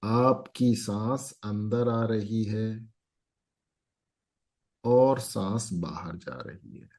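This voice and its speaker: A man guiding a meditation as an instructor, speaking calmly in short phrases with long pauses. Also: on the low side.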